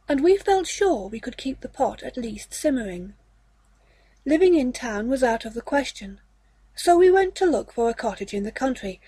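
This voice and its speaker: A woman reading a classic story aloud as an audiobook, in a measured, literary manner. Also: a little high, darkish tone, slightly rough, no room sound, low-quality recording. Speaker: audiobook narrator. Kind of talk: scripted narration of a classic ghost story.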